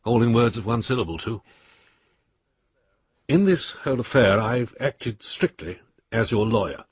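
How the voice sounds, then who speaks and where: An actor playing a long-suffering lawyer, reading letters to a friend in a dry, measured, mildly exasperated manner in a radio drama. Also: male voice, mid-range voice, lively intonation, husky, dry recording, dull audio. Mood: reproachful, caring.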